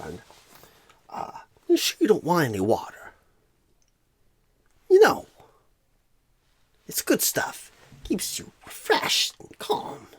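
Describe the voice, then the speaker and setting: A man playing a clueless, bumbling job recruiter in a comedic ASMR role-play, rambling with odd pauses and repeated words, flustered but eager. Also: a little high, breathy edge, full character voice. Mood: awkwardly chatty.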